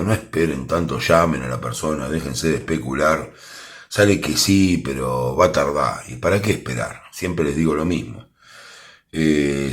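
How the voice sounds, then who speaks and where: A man with an Argentine accent giving a casual, chatty tarot reading and offering viewers direct, reassuring advice. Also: extremely low pitch. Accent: Argentine Spanish. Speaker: tarot reader.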